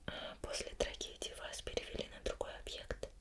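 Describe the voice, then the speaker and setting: Whispering woman in ASMR interview roleplay. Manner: slow, gentle, formal interviewer with deliberate pauses.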